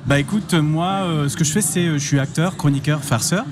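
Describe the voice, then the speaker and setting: A man's voice: fairly high pitch, fairly quick, background noise. A man in his thirties from Spain, performing a playful, self-mocking comic chronicle live at a radio fair. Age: 30s. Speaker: comedian and offbeat reporter, contest candidate. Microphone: headset with headphones.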